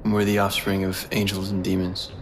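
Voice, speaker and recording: A man's voice: low-pitched, monotone, brisk, a little hiss or hum, close to an everyday voice. A man playing a brash young demon hunter in a video game, terse, cool and cocky in delivery. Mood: flat, guarded. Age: young adult. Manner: blunt, laconic, cool. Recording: echoey, loud music underneath.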